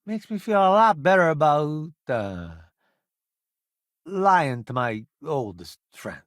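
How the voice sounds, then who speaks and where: An actor playing a wry, self-promoting food columnist turned space crewmate in a video game, breezy and full of sardonic wisecracks. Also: male voice, fairly high pitch, expressive, slow, a bit bright, dry recording, clean studio sound.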